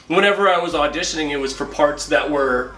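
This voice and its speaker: A man's voice: on the high side, brisk, sharp tone. A man interviewing casually as host, informal, slangy and enthusiastic. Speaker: interviewer, animated series co-creator. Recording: roomy, echoey sound.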